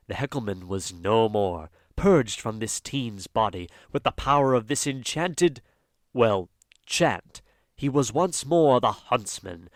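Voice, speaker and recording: A man's voice: mid-range voice, no room sound, studio quality. A man reading dramatic scripted narration as the narrator of an audio drama.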